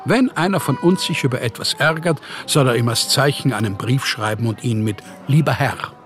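An actor playing a proud, bitter, needy young writer in a German radio play, speaking in measured, formal literary phrases. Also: male voice, mid-range voice, fairly bright tone, soft background music, natural voice. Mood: reproachful.

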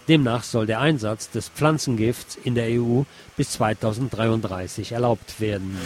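A man's voice: medium pitch, darkish tone, slight background noise. A man reading scripted news in the role of a radio news presenter.

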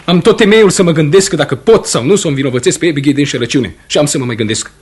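An actor playing a stern, proud farmer husband who is quick to anger, in a brusque, emphatic Romanian radio theatre style. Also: male voice, fairly high pitch, a little mellow, slightly rough. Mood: angry, defensive.

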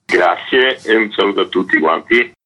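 An event organizer answering a radio host's questions. His voice is husky and bright, and he keeps a steady, earnest pace. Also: deep voice, normal speed. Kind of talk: radio interview guest.

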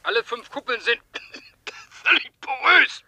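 Piercing, high-pitched defiant branch chief. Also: male voice, lively intonation, a little slow, exaggerated voice. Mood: defiant, agitated. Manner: sharp, agitated, defiant. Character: defiant, embittered male branch chief over a call link. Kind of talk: 1970s German radio play acting.

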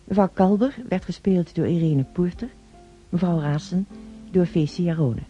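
Een vrouwelijke omroepster die voorgeschreven aftiteling van een hoorspel voorleest. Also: female voice, fairly low pitch, a little slow, warm tone, dull audio. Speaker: radio drama announcer. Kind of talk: scripted credits reading. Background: guitar music.

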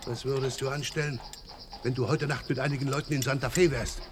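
A man's voice: medium pitch, steady tone, a little fast, noisy background, natural voice. A man playing a terse, authoritative rebel leader giving night orders in a German radio play. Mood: conspiratorial, commanding.

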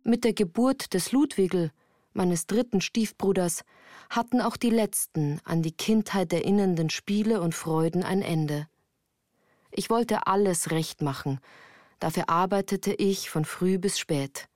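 A woman playing a melancholy narrator who recounts her hard youth in a measured, earnest radio drama style. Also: mid-range voice, darkish tone, no echo, clean studio sound, natural voice. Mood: reflective, earnest.

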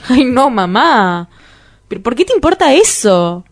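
A blunt young Argentine woman in a radio play. Her voice sits fairly high, and she answers in a curt, evasive, exasperated way. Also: big pitch swings, a little slow. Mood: impatient.

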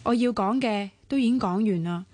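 A woman's voice: medium pitch, a little fast, darkish tone, no room sound, natural voice. An actress with a Hong Kong accent playing an earnest, worried young woman in a radio drama, with a pleading way of talking. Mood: pleading, wistful. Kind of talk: Cantonese radio drama acting.

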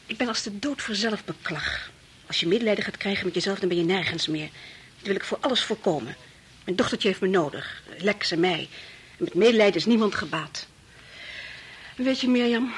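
An actress playing a proud, composed married mother in a Dutch radio play, earnest and measured in manner. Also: mid-range voice, slightly rough. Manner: earnest, measured, shuns self-pity. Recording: faintly noisy old radio play recording.

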